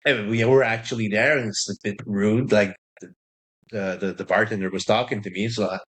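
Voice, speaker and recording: Hesitant low-voiced man. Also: fairly even intonation, fairly bright tone, breathy edge. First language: not English. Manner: hesitant, halting, many restarts and fillers. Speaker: podcast co-host. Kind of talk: casual recovery podcast chat.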